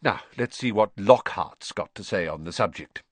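A man voicing a fussing, sharp-tongued middle-aged witch mother who scolds and snaps at her sons. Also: fairly low pitch, lively intonation, moderate pace, breathy edge, no echo. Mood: brisk, fond. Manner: scolding, snappy, then softening.

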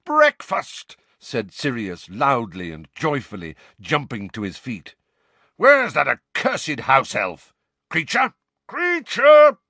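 Guy doing a big-hearted godfather, loud then firm, low and a bit husky. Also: expressive, a little slow, few pauses, dry recording, exaggerated voice. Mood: joyful shouting. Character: boisterous grown man, protective godfather.

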